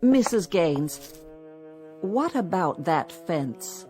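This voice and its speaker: A woman's voice: on the low side, very animated, very slow, a little mellow, exaggerated voice. A woman acting in a radio drama as a composed, probing clinician who assesses a patient. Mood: clinical, puzzled. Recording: music bed underneath.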